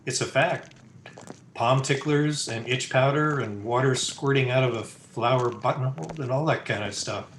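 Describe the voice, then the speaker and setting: In a roomy, echoing space with some background noise, a man plays a sardonic young fiancé from an old radio drama. He gripes and wisecracks in slangy talk at a middling pitch. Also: normal speed, a bit bright, lightly acted. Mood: sardonic griping. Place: indoor room, recorded over a video call. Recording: roomy echo, noisy home video-call recording.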